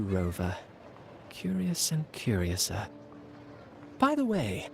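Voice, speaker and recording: Urbane, enigmatic male playwright for a game: mid-pitched, theatrical, teasing, over background noise. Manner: theatrical, eloquent, playfully ominous. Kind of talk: scripted video game dialogue.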